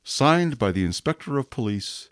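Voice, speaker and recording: A man's voice: mid-range voice, big pitch swings, moderate pace, natural voice. An actor playing a rigid, dutiful male police inspector in a radio drama, cold and formal.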